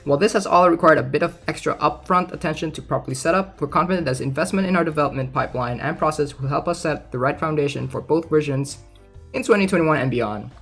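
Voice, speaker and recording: A man's voice: a little high, fast, vocal fry, fairly bright tone, slightly rough. A man casually reading aloud and commenting in an informal, chatty way, like a gaming content creator. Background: background music.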